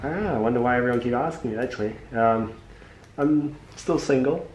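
A man's voice: mid-range voice, fairly quick, a little mellow. A young man from Hong Kong working as a podiatrist, chatting casually with a patient in an easygoing way. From Hong Kong, moved to New Zealand young. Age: young adult. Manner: easygoing, uses "like" often. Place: indoor treatment room. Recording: roomy echo, clear background noise.

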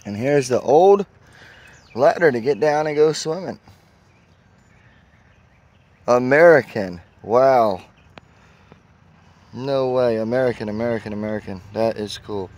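A man's voice: mid-range voice, a little slow, pauses often, a little mellow. A man narrating a casual travel vlog as he walks outdoors with a handheld camera, exclaiming at what he finds. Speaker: travel vlogger. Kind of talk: casual walking tour narration. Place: outdoors at a spring park.

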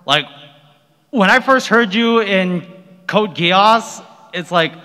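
A man's voice: sits high, expressive, slow pace, sharp tone. A man speaking casually as an audience member, gushing like an eager fan before his question. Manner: effusive, gushing. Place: large convention panel room. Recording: reverberant hall, audience microphone.

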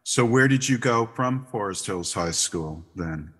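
A man hosting a podcast, asking interview questions in a measured, hesitant way with frequent uhs. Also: fairly low pitch, animated, a bit bright, long reverb tail.

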